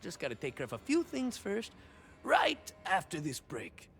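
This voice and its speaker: Video game hero: swaggering male cyborg soldier, Mexican-American accent, very animated melody, hesitant rambling asides peppered with Spanish. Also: sits high, normal speed, soft background music, full character voice. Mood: upbeat, dreamy. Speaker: playable game character. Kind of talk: video game idle monologue acting.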